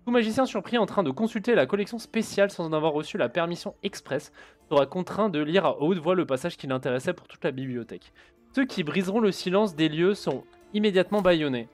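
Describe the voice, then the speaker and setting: A man's voice: sits high, lively intonation, fast, soft background music. A man streaming a video game, joking casually and reading in-game text aloud. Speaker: gaming streamer. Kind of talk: casual let's-play commentary.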